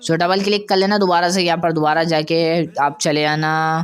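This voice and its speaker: A man's voice: fairly high pitch, flat delivery, moderate pace, a bit bright, clean tone. A man presenting an audio editing tutorial in a casual, conversational way, often checking that listeners follow. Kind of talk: informal software tutorial.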